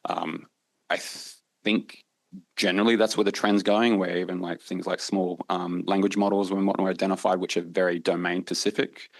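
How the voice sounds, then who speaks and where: Aussie guy, really deep and gravelly, flat monotone, lots of ums and uhs, dry close sound. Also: dry recording. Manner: halting, heavy on fillers and jargon. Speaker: panelist, tech company representative. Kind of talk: panel discussion answer.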